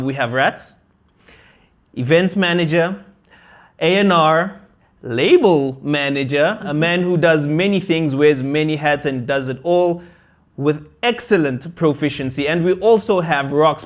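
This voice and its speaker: A South African man hosts a music chart podcast with upbeat energy. He hypes his guests with slangy introductions and keeps the chat loose and casual. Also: on the high side. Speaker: podcast host.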